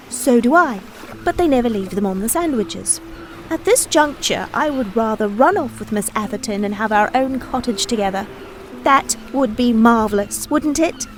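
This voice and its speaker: Prim young regency lady, high lively voice, wordy and indignant, audio drama w music behind. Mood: wistful, chattering. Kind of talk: full-cast audio drama acting.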